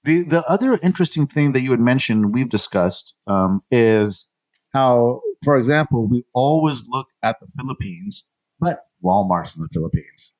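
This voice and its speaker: Podcast host guy, easygoing and agreeable, mid-pitch voice, dry sound. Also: lively intonation, moderate pace, darkish tone, no room sound, rough recording. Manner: easygoing, agreeable, with short replies. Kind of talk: casual podcast conversation.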